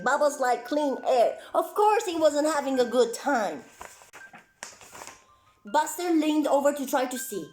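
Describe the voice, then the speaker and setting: A high-voiced woman tells a children's story in a roomy, echoing space with faint music behind her. She reads expressively, like a children's entertainer, and slips easily into chatty asides to her young audience. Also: a little mellow. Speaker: children's storyteller presenting as a clown.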